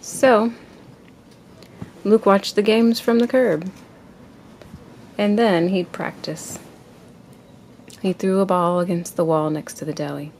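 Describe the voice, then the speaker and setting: Mom reading a picture book to her kid, slow and gravelly, bit of home noise. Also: mid-range voice, steady tone, a little mellow. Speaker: parent reading a children's book aloud to a child. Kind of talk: read-aloud picture book narration. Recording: noisy amateur home recording.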